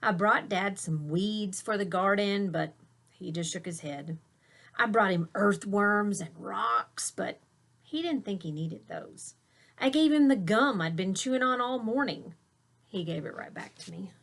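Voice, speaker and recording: A woman's voice: medium pitch, a little slow, fairly bright tone. Woman reading a children's story aloud. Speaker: teacher reading a picture book aloud.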